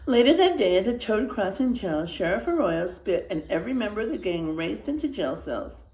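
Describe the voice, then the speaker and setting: A woman reading a children's story aloud expressively, pausing for asides to her young listeners. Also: medium pitch, sing-song, darkish tone, roomy sound, poor audio.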